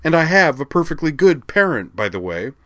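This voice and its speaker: A man's voice: medium pitch, normal speed, fairly bright tone, dry recording, pitched above the performer's own voice, lightly acted. A man reading the part of an outraged young alien woman student, snappish and indignant.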